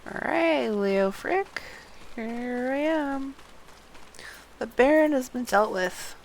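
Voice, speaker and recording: A woman streams a game in an unhurried, expressive voice, switching between reading quest text and muttering to herself. Her delivery swings freely in pitch and stays casual. Faint noise sits behind her.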